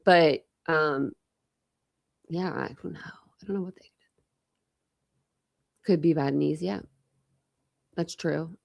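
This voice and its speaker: A woman's voice: deep voice, slow pace, gravelly, pauses often, a little mellow. A woman in her forties hosting a casual true crime livestream, thinking aloud and rambling, with a slight lisp from a dental retainer. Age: 40s. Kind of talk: casual livestream chat with viewers.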